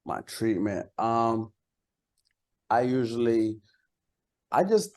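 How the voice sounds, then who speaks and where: A man's voice: medium pitch, slow, a little mellow. A man with a mid-range voice speaks as a rapper being interviewed. His talk is casual and slangy. He tells anecdotes in a conversational way, often repeating a phrase as he goes.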